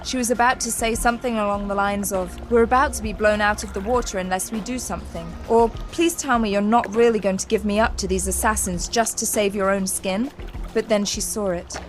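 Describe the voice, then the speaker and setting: A woman's voice: medium pitch, steady tone, fairly quick. A woman reading scripted, dramatic story narration for a sci-fi audio drama. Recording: loud music and vehicle sounds behind. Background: dramatic music, vehicle sounds.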